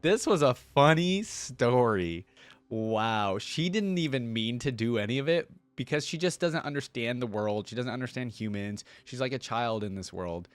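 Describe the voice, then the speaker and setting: A man's voice: mid-range voice, expressive, normal speed, clean tone, no echo. A man giving casual, off-the-cuff reaction commentary as the host of a video. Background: music from videos being watched.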